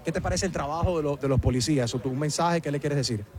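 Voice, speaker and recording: Male radio host, high and quick, playful teasing street banter outdoors. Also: darkish tone. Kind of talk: live street broadcast, joking banter.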